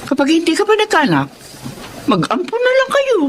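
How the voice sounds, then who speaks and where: Radio drama role: blunt Filipino older sister and weary mother, very expressive, faint background noise. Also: extremely high pitch, brisk, full character voice. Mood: frank, wry. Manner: scolding, blunt. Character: fed-up, sharp-tongued wife and mother of three, older sister.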